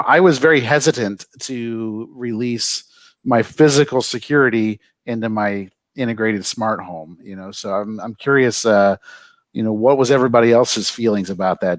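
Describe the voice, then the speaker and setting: A man's voice: mid-range voice, normal speed, no echo. A man on a panel call thoughtfully asking the others about their experience. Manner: thoughtful, questioning. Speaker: panel guest. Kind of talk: casual tech chat on a call.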